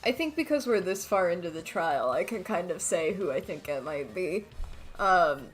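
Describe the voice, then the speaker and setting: Female let's play host, casual, thinking aloud, expressive pitch, faint music underneath. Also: normal speed. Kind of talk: casual game commentary.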